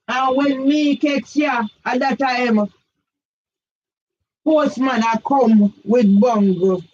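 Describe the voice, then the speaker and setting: An older woman with a Jamaican accent, delivering an agitated, repetitive monologue for her own channel that rises now and then into shouts. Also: high voice. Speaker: vlogger. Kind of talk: impassioned monologue. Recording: dull lo-fi audio.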